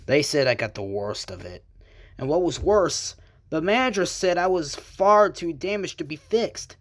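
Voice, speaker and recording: A man voicing a battered old male rescue engine in a fan comic dub, weary, stoic and gentle. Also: a little high, animated, moderate pace, no room sound, clean studio sound, lightly acted. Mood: wry, resigned. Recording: music under the voice.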